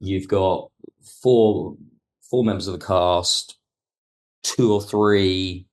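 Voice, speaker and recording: A man with a low-pitched voice talks as a podcast guest. He is reflective and analytical, building explanations step by step and softening his points with careful qualifications. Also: steady tone, takes it slowly. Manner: thoughtful, analytical, hedging. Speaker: podcast guest, audio drama writer. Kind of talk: reflective interview talk.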